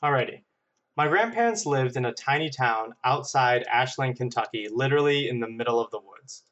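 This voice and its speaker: A man chatting and telling stories casually as a podcast guest, animated, joking and self-deprecating. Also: mid-range voice, fairly quick, muffled recording. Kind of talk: casual chat and storytelling.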